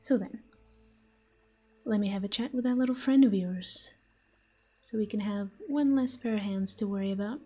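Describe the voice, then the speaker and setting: Casting: actress as jealous, flirtatious female fantasy villain for audio roleplay; mellow, breathy, smug, soft music underneath. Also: medium pitch, normal speed, pauses often, lightly acted. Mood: jealous, teasing. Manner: smug, taunting, breathy murmurs. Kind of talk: intimate scripted audio roleplay addressed to the listener.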